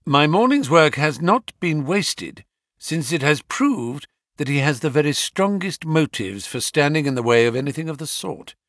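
A man voicing a cool, polite gentleman detective with an imperturbable, wry manner in an audiobook story. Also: on the high side, very animated, moderate pace, dry recording, studio quality. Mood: brisk, serious. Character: cool, bland, amused male gentleman detective.

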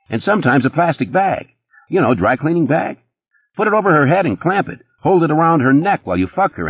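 A man voicing a jittery, boastful, sleazy young playboy who talks fast and nervous, his voice pitched well above his own. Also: husky, dry recording, muffled recording, heavily acted. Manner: rapid, nervous, boastful, pitched high and pushy.

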